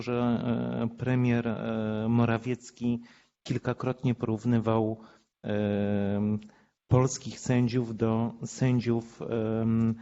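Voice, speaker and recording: A man speaking on a panel through an interpreter, in a measured way. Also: medium pitch, warm tone, lo-fi sound. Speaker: judge on a panel. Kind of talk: panel discussion.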